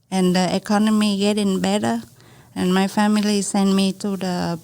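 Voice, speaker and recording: A woman in her forties with a Vietnamese accent, telling her own story as a podcast guest in halting second-language English. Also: mid-range voice, darkish tone. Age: 40s. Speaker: podcast guest, small business owner. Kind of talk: conversational personal storytelling.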